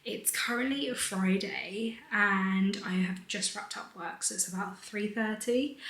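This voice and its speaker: A young woman with a British accent, chatting casually to camera in a weekend vlog, a little hoarse and drifting into half-whispers. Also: mid-range voice, fairly bright tone, reverberant. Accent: British English. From United Kingdom. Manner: breezy and rambling, sometimes half-whispered. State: slightly hoarse. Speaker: vlogger.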